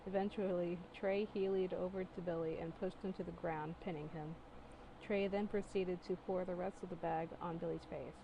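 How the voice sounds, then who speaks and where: A woman's voice: on the low side, warm tone, slight background noise, poor audio. A woman doing a casual dramatic reading of fan fiction, giggly and incredulous, breaking off for mocking asides.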